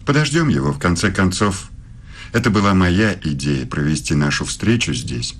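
A middle-aged man as a philosophy professor in a radio play. His voice is deep, his speech formal and measured, with a suave, inquisitive edge. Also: sing-song, husky, heavily acted. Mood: formal, probing.

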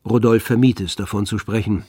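A man narrating a literary radio drama in a measured, storytelling manner. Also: lowish voice, steady tone, mellow, husky, no echo. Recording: dry studio, faint music underneath.

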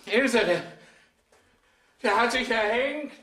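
An actor playing a shaken innkeeper in a 1970s German radio crime drama. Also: male voice, very high-pitched, slow, bright, forceful tone, roomy sound, exaggerated voice. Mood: alarmed, urgent. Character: innkeeper shaken after finding a lodger dead.

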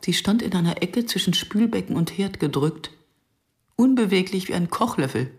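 A woman playing a sober, questioning film director who narrates her memories in a measured, observant way for a radio drama. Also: fairly low pitch, expressive. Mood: sombre. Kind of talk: literary first-person radio drama narration.

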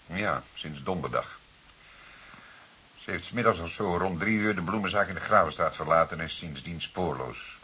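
Low-voiced, probing police detective. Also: male voice, animated, bright, forceful tone. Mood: grave. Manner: deliberate, formal, measured questioning. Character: calm, authoritative male police detective, probing and formal. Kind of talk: Dutch radio drama dialogue. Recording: hissy old radio drama recording.

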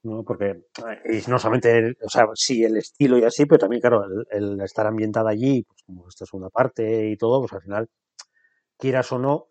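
A man's voice: fairly low pitch, fairly even intonation, brisk, a little mellow, highs cut off. A man, co-hosting a writing podcast, talking in a conversational, hesitant way. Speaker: podcast co-host.

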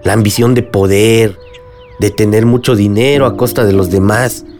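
A man's voice: on the low side, fairly even intonation, moderate pace, a little mellow, faint music behind, natural voice. A man with a Mexican accent playing a middle-aged, remorseful village leader and father in a radio drama. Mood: remorseful, earnest.

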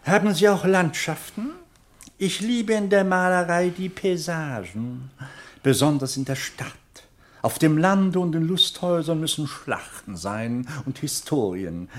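Man acting a high-voiced, vacantly agreeable prince. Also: unhurried, close to an everyday voice. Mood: affable. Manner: repetitive, absent-minded murmured agreement. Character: bored, vacantly agreeable prince, politely humouring his guide. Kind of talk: German radio play acting.

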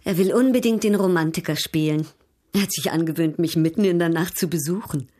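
A woman acts out a haughty European baroness with a fairly low voice. She speaks formally and with poise, coolly ironic and a little flirtatious, in the manner of a radio play. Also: a little fast, warm tone, lightly acted. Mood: cool amusement. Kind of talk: German radio drama acting.

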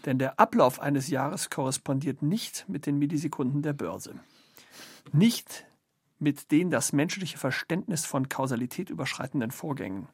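Higher-voiced, husky ironic male intellectual. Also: expressive, normal speed, no echo, studio quality. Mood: wry, brooding. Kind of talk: literary radio play, scripted three-way conversation.

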